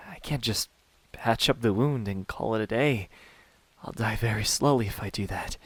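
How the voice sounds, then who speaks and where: A man voicing a wounded, cocky, world-weary demon hunter in a hushed, breathy, sardonic ASMR roleplay. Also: fairly low pitch, no echo. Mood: wry, strained. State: in pain from a wound.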